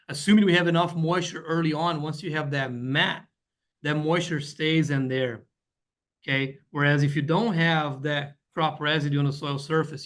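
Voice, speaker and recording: A man presents slides in a webinar as a guest weed scientist. His voice sits a little higher than most men's. He explains patiently and keeps checking in with "right?" as he goes. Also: a bit bright. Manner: explanatory, frequent "you know" and "right?" checks.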